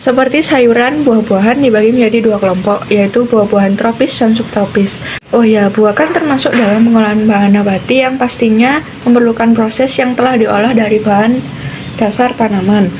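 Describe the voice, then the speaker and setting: A woman's voice: moderate pace, a little mellow. A young woman co-hosting a student podcast in a scripted, conversational educational style. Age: young adult. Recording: noisy, vehicle-like ambient rumble.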